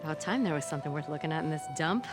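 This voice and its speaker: A woman's voice: deep voice, expressive, rapid-fire, loud music behind the voice. An actress playing a brash woman smith in a video game, talking in a wry, folksy, sardonic way. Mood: pleased, teasing. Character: brash, wry woman smith, beer-loving old friend. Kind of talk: video game cutscene acting.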